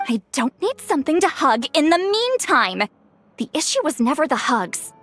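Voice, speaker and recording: A woman's voice: high voice, big pitch swings, normal speed, fairly bright tone. An actress playing a grumpy, put-upon young woman in a video game, with a dry, exasperated delivery. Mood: reluctant, annoyed.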